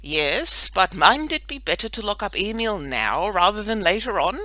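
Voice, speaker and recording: A storybook reader voicing a blunt, grumbling maidservant who speaks loudly and sulkily. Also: mid-range voice, sing-song, moderate pace, no room sound, voice pushed up. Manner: loud, sulky. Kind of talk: storybook reading.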